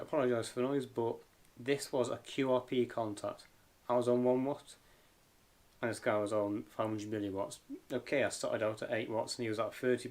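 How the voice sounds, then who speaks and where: A man giving a casual, unscripted hands-on gear review as an amateur radio vlogger, pausing and feeling his way along. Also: medium pitch, a little mellow. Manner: hesitant, informal, unscripted. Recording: clear background noise and clicks.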